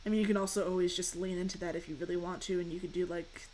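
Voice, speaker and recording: A young woman with a fairly deep voice, chatting casually and rambling while she streams a game and answers questions from chat. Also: fairly even intonation, racing, darkish tone, a little hiss or hum.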